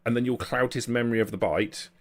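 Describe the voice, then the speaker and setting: A man's voice: medium pitch, fast. A man as game master, narrating and explaining a tabletop roleplaying game in an explanatory, improvised way.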